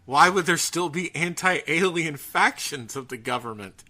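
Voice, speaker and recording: A man hosting a podcast, chatting casually and comically, incredulous and often restarting his sentences. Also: fairly high pitch. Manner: animated, incredulous, halting restarts. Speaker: podcast host. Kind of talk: casual comedic chat about a bizarre news story.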